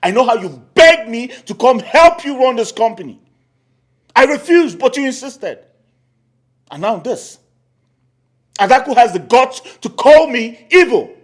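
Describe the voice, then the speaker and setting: A man's voice: on the high side, sing-song, normal speed, a little mellow, slightly rough. An actor playing an aggrieved uncle who complains to his sister about his niece. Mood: indignant. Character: aggrieved middle-aged uncle, company manager. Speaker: uncle running the family company. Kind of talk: film drama acting.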